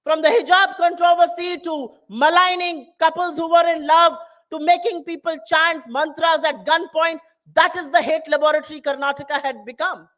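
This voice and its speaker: A woman with a high voice, a native Hindi speaker, addresses a town hall audience. She builds her political speech through rousing, repeated lines. Her delivery is passionate. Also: fairly even intonation, normal speed, fairly bright tone. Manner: rousing, repetitive rhetoric.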